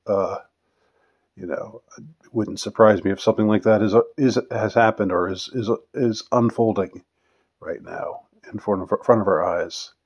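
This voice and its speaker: A man in his fifties answering viewer questions in a casual, unscripted monologue, rambling and halting as he lets thoughts trail off. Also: on the low side, fairly even intonation, darkish tone, breathy edge, lo-fi sound. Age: 50s.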